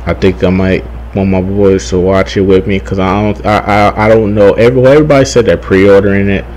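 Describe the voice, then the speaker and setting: A young man giving casual, rambling gaming commentary, halting, repeating himself and swearing freely. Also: low-pitched, steady tone, a little mellow, a little hiss or hum. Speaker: gaming YouTuber.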